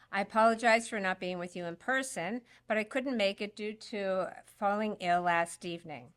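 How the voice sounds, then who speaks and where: A woman reads a prepared statement aloud at a public forum, keeping a steady, measured pace. Her voice has an ordinary pitch for a woman, and she makes the occasional small slip. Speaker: stand-in reading a candidate's statement.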